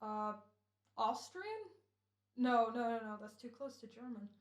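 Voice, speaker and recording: A woman playing a brisk, fussy male radio director in an old-time drama, bossy and thinking aloud. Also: on the high side, steady tone, lightly acted. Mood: businesslike, fussy. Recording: echoing room, home recording.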